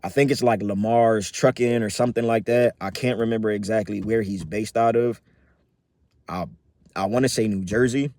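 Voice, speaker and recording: A man in his thirties giving a casual personal update as a vlogger, rambling with many fillers and restarts in a relaxed, upbeat way. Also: lowish voice, normal speed, dry recording. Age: 30s.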